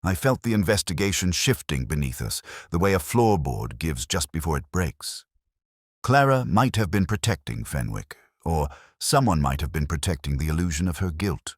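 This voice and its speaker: A man reading a scripted first-person detective story in a measured, literary, brooding manner. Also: low voice, big pitch swings, dry recording, studio quality. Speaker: audio drama narrator.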